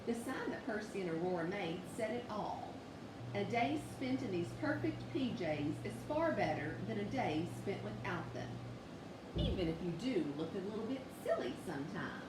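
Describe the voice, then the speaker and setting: A woman reading a children's bedtime story aloud with expressive, measured delivery. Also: mid-range voice. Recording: echoey room, distant mic, noisy background.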